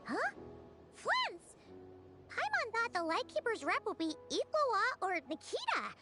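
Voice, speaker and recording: Tiny game fairy, extremely high squeaky voice, childlike, chatty, lively swoops, music behind. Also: female voice, a little slow, full character voice. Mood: surprised, puzzled. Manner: exclamatory, refers to self by name. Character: small childlike floating fairy companion, chatty and excitable. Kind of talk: video game voice acting.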